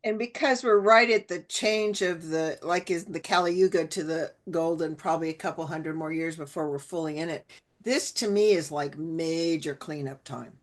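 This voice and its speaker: A woman's voice: deep voice, normal speed. A woman hosting an interview, asking long, winding questions full of "like" and restarts. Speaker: podcast host.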